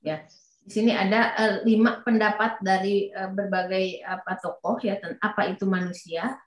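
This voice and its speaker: A woman's voice: mid-range voice, fairly even intonation, moderate pace. A woman interpreting a lecture, hesitant, with many fillers and restarts. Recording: roomy echo.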